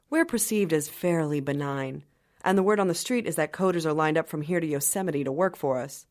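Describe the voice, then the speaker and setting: An actress playing a wry insider who narrates an audio drama in measured scripted narration. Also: female voice, deep voice, darkish tone.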